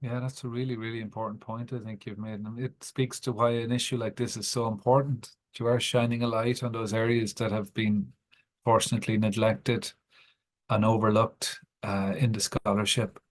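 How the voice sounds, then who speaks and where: A man chairing an academic event, giving courteous, appreciative closing remarks with halting pauses. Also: lowish voice, level tone. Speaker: panel chair.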